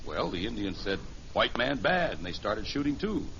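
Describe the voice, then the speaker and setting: A warm-hearted middle-aged American dad in an old radio sitcom. His pitch moves in lively swings while he talks gently and patiently, as if playing along with a small child. The recording is muffled, with a light vintage hiss. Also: moderate pace, vocal fry, heavily acted. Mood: gentle, reassuring. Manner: gentle, folksy, patient storytelling. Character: kindly middle-aged father playing make-believe with a child. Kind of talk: 1950s radio sitcom acting.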